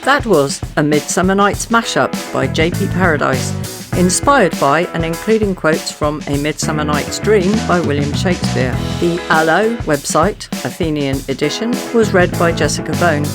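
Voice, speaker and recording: A woman's voice: low-pitched, animated, moderate pace. A woman reading scripted podcast credits as an announcer. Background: loud music with guitar and drums.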